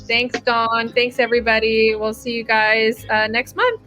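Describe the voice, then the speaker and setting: A woman's voice: a little high, measured pace, pushed and bright, faint music behind. A woman hosting a webinar in casual chat over a video call, bubbly and laughing often. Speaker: webinar host.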